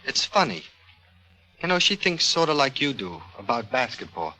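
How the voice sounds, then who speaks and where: An American actor playing a moody, insecure young college basketball player in a mid-century radio drama, earnest and defensive. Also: male voice, mid-range voice, sing-song, moderate pace, bright, forceful tone, rough recording. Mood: unsure.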